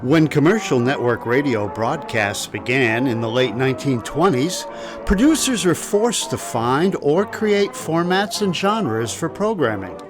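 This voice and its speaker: Male narrator, scripted documentary host delivery, music playing behind, mid-pitched voice, casual enough to type: just a guy narrating. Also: big pitch swings, measured pace. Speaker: show host. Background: music under the voice.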